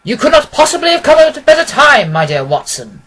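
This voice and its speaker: A man voicing a brisk, commanding, courteous gentleman detective from a storybook, speaking formally and emphatically. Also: very high voice, wildly swooping pitch, pitched above the performer's own voice, full character voice. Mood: cordial, emphatic.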